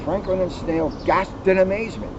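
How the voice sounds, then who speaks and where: Muffled audio with heavy background noise carries a fairly high-voiced man reading a picture book aloud. He is plain-spoken and encouraging, talking straight to children between passages. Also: fairly even intonation, moderate pace. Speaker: police officer reading a children's story. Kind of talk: storybook read-aloud with casual chat.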